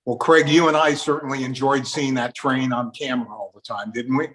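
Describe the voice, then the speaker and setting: A man's voice: medium pitch, fairly even intonation, fairly quick, fairly bright tone. A man hosting a panel interview, politely putting questions to his guests. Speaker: host interviewer.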